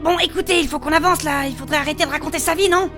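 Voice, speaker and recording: A woman's voice: high-pitched, very fast, over music. A woman playing a sensible, firm adventuress who keeps her comic fantasy party on task, explaining things articulately. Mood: exasperated. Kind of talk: comic fantasy audio drama acting.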